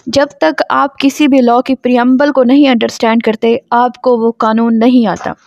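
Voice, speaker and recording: A Pakistani-accented woman teaches law in a high voice. She speaks didactically, setting out questions and then explaining the answers. The recording is dry and close with a slightly dull, lo-fi tone. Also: flat delivery, normal speed, a little mellow, no echo. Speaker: law lecturer. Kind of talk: scripted-outline lecture explaining a statute, reading clauses aloud.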